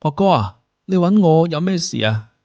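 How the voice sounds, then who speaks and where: A man's voice: on the high side, expressive, normal speed, mellow, no room sound, lo-fi sound. A man voicing a loyal, clueless, eager young sidekick in an audiobook drama, emphatic and deferential. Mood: puzzled.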